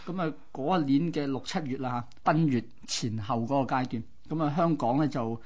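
A man's voice: medium pitch, normal speed, darkish tone. A man with a Hong Kong Cantonese accent co-hosts a radio chat in a mid-range voice. He explains casually, laying out details piece by piece with plenty of colloquial particles. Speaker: radio co-host.

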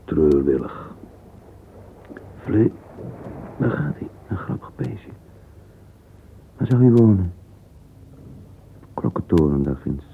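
An actor playing a wistful middle-aged man lost in thought, murmuring a halting interior monologue in a radio play. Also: low voice, very animated, vocal fry, heavily acted. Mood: curious, musing. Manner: murmuring, halting, fragmentary musing, sometimes whispered. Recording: narrow-band lo-fi old radio recording, hissy.

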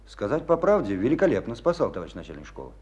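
Radio drama casting: young army cadet, stubborn and earnest, widely swinging intonation. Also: male voice, fairly low pitch, huge pitch swings, a little mellow. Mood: subdued, stubborn. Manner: halting, earnest, swinging from subdued to fervent. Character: proud, earnest young male officer cadet, stubborn, passionate. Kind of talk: Russian radio play acting.

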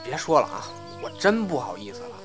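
A man's voice: medium pitch, sing-song, brisk, fairly bright tone. A man acting a bashful, grumbling young crosstalk apprentice in an audio drama. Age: young adult. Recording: background music under the voice.

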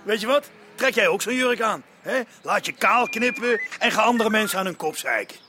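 High-voiced, snappy, sarcastic cop. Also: male voice, expressive, normal speed, noisy background. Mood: rude irritation. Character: gruff, sarcastic, impatient male street policeman. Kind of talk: Dutch radio drama acting. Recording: busy outdoor street crowd behind.

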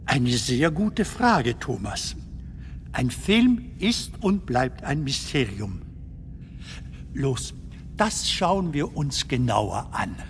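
An actor playing a whimsical film comedian stranded in darkness in a German radio drama, musing and storytelling in a halting way. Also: male voice, mid-range voice, big pitch swings, a little hiss or hum, exaggerated voice. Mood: wry, coaxing. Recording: music behind the voice.

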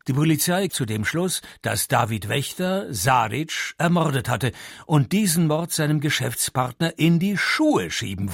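An actor playing a dry, sardonic male private detective in a radio play, narrating and talking in a deadpan, needling way. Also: fairly high pitch, vocal fry, husky, heavily acted.

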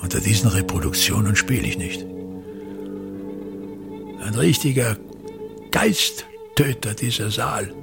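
An actor playing a pompous, embittered ageing actor-manager in a radio drama, scornful and declamatory, with a husky, gravelly voice and halting delivery. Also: male voice, on the low side, expressive, over music. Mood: disgusted grumbling. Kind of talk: theatrical radio drama monologue.

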